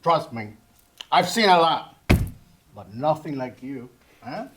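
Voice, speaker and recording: A crude, creepy little man, acted by a man at a table read, with a wildly swinging pitch. He bullies and leers, halting as he hunts for words. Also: very animated, noisy background. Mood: leering. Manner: halting, searching for words, leering. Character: short creepy man, lecherous, bullying, crude bounty hunter. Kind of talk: screenplay table read acting.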